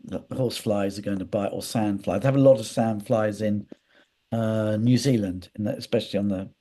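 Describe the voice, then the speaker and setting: A man's voice: fairly low pitch, steady tone, moderate pace, dark tone. A man speaking as an interview guest, telling anecdotes in a hesitant, digressive way with repeated words. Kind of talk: conversational interview, rambling anecdotes.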